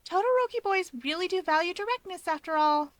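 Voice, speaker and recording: A woman's voice: very high-pitched, expressive, brisk, no room sound, voice pushed up. A woman voicing a teasing, affectionate young woman in a story, with a cooing, singsong manner.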